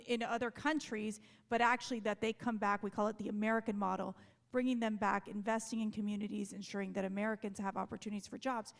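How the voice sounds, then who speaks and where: A woman's voice: mid-range voice, gravelly. A woman on a conference panel making eager policy arguments, keen to jump ahead. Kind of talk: panel discussion.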